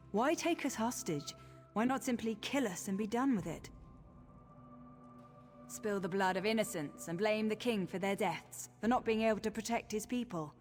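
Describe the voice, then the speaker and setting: An actress playing a brave, protective orc high priestess in a video game, resolute and earnest in her delivery. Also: a little mellow, close to an everyday voice. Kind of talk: dramatic video game voice acting. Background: game music.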